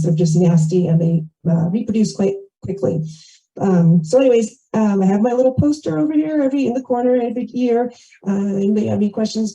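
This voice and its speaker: A woman's voice: mid-range voice, dark tone. An American woman giving an informal, chatty and rambling report at a community meeting into a small microphone, in muffled audio. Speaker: committee member and volunteer organizer. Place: community meeting, shared over video call. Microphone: small microphone passed between speakers.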